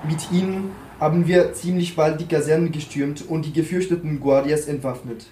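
A man's voice: on the high side, steady tone, moderate pace, warm tone. A man reading a text aloud in turns with another reader, now and then stumbling over a word. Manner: occasional stumbles over words. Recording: roomy with faint hiss.

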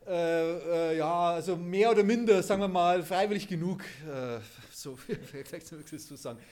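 A man, a lecturer, introducing himself to an audience in a chatty, self-deprecating, halting way. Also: fairly high pitch, darkish tone. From Munich, Germany. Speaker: lecturer giving a talk.

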